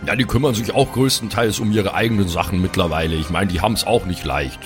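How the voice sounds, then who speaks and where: A man's voice: low voice, fast, soft background music, close to an everyday voice. A man playing a put-upon, bookish grown son who is a devout fantasy cleric, earnest, whiny and self-justifying, in an improvised audio play. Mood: earnest, plaintive.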